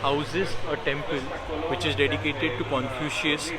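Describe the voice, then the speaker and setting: A man's voice: a little high, steady tone, moderate pace. A man presenting a travel vlog, explaining sights like a guide, recorded outdoors with music behind him. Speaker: travel vlogger. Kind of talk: travel vlog narration to camera. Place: outdoors at tourist sites.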